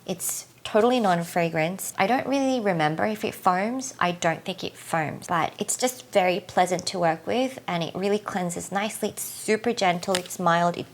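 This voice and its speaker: Tired, husky-voiced woman chatting casually. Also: lowish voice, normal speed, hardly any pauses. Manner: chatty, filler-heavy, uses "like" often. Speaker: beauty vlogger. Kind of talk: casual product review.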